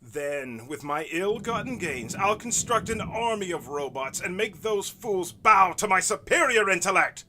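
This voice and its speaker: A man's voice: high-pitched, animated, measured pace, hardly any pauses, bright, forceful tone, slight background noise, pitched above the performer's own voice, heavily acted. A man playing a gloating, vengeful young mad scientist, grandiose and melodramatic, in a comic monster-movie audio drama.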